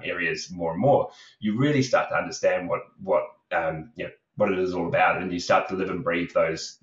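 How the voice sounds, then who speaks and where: A man with young kids, a recruitment company co-founder, talking casually as a podcast guest with lots of fillers and restarts. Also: low voice. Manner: rambling, many fillers and restarts. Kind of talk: casual podcast interview talk. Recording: roomy, distant, dull video call audio.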